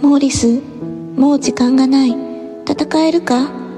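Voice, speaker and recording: A woman giving measured, dramatic narration for a voice drama trailer. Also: sits high, steady tone, music in the background.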